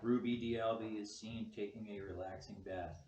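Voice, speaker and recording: A man's voice: fairly low pitch, fairly even intonation, normal speed, darkish tone, far from the mic, dull audio. A man reading stage directions aloud at a film script table read. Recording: echoey, noisy room, off mic.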